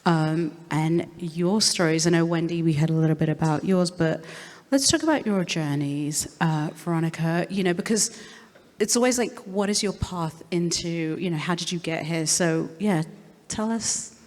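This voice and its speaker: A woman's voice: on the low side, normal speed, darkish tone. A woman moderating a panel, speaking casually with lots of fillers. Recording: long hall reverb.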